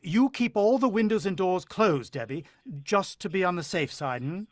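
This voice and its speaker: A man's voice: high-pitched, moderate pace, background noise, lightly acted. A man acting in a radio drama as a shaken, earnest, protective father with a hesitant way of explaining himself. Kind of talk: radio drama acting and first-person narration.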